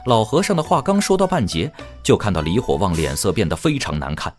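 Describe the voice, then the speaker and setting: A man's voice: fairly low pitch, very animated, a little fast. A man narrating a fantasy audio drama in a dramatic, scripted storytelling style. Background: music.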